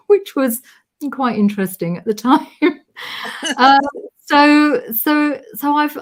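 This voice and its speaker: Middle-aged british-raised woman, guest on an interview, rambly and laughy. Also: mid-range voice. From Britain. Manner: chatty, laughs often, many fillers. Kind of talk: casual interview chat.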